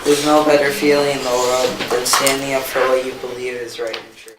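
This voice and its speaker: A man's voice: medium pitch, little pitch movement, brisk. A male student performer reading his scripted lines aloud. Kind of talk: scripted readers theater, read aloud. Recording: noisy echoey room, distant mic.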